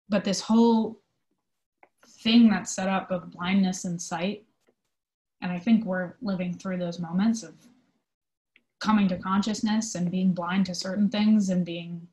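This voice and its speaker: A woman answering interview questions in an informal, conversational way, explaining her work thoughtfully with pauses. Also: fairly low pitch, fairly even intonation, darkish tone, rough recording. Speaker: theatre company manager being interviewed.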